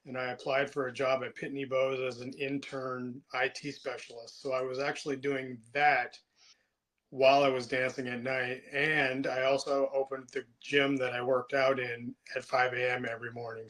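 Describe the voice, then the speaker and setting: Relaxed chatty man in his fifties. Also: mid-range voice, moderate pace, rough recording. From United States. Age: 50s. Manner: relaxed, chatty storyteller with frequent ums and restarts. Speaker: interview guest. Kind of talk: casual interview chat.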